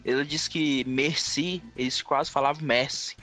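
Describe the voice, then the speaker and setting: A man's voice: medium pitch, steady tone, unhurried, bright, forceful tone, soft background music. A man with a Brazilian accent chatting casually as a podcast co-host. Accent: Brazilian Portuguese. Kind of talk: casual chat.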